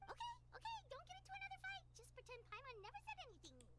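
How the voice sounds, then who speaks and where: An extremely high, squeaky game sidekick. The little creature is childlike and excitable, swooping animatedly as it chatters like an eager peacemaker. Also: female voice, rapid-fire, heavy background noise, exaggerated voice. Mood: flustered. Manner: refers to itself in third person. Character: tiny chirpy fairy-like companion, childlike, excitable peacemaker. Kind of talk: video game voice acting.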